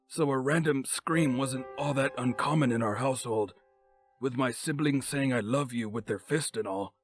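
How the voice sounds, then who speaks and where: A man's voice: medium pitch, close to an everyday voice. Man as an old horror storyteller over music. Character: elderly man recalling an eerie childhood night. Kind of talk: scripted horror narration. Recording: clear music bed under narration.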